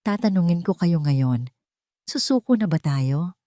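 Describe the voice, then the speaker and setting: A determined woman executive from an audio drama, put on by a female narrator. She speaks firmly and rousingly to her people, in a slightly low voice with a close, dry sound. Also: expressive, unhurried, mellow, no echo. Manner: firm, impassioned, rousing. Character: determined, brave woman boss rallying her fearful workers.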